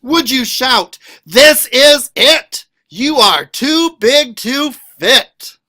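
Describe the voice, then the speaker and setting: Animated, slow-paced man reading to children. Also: extremely high pitch. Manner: expressive, questioning, stretches out words for children. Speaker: school librarian reading a picture book. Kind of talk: animated read-aloud of a children's picture book.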